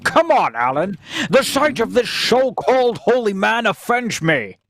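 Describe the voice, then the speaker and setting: A defiant young hero, played by a man in a science fiction radio drama. The voice sits high and delivers its lines deliberately, with bold, theatrical scorn. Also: sing-song, creaky, fairly bright tone, no echo, studio quality, heavily acted. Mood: scornful. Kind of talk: sci-fi radio play acting.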